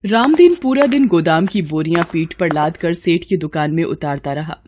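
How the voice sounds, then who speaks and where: A woman's voice: on the low side, moderate pace, a little mellow, slight background noise. A woman narrating a scripted moral story as a storyteller.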